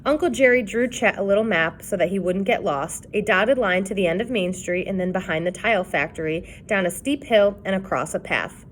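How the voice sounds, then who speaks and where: A woman reading a children's chapter book aloud in an expressive storytelling style. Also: medium pitch, a little fast, hardly any pauses, slight background noise. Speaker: reader.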